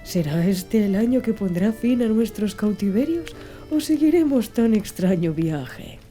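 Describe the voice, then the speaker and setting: A woman voicing a learned, courteous gentleman traveller in formal, measured audiobook dialogue. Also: mid-range voice, expressive, soft background music, natural voice. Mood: courteous, reflective.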